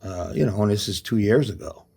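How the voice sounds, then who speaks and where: A middle-aged man with a gravelly, rough-edged voice and a breathy, husky tone. He talks in an easygoing, conversational way and shares his view of life with earnest conviction. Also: lowish voice, animated, a little fast, warm tone. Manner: earnest, speaks in life lessons. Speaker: actor guest. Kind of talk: casual podcast chat.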